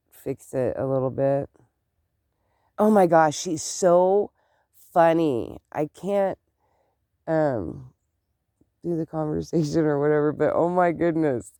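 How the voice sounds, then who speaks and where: A stuffed-up vlogger talking slowly and loosely, wandering from one thought to the next. The voice is mellow and smooth, with a congested, nasal edge from a head cold. The sound is dry and close. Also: medium pitch, no echo. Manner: chatty, meandering, exclaims often. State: congested, stuffed-up nose and clogged ears. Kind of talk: casual rambling chat to camera.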